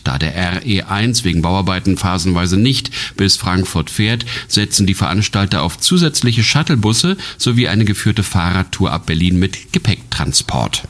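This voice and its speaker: A male radio newsreader with a very low voice, delivering regional headlines in an even, measured scripted read. The sound is a clean studio broadcast. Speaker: news presenter. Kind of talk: scripted radio news reading.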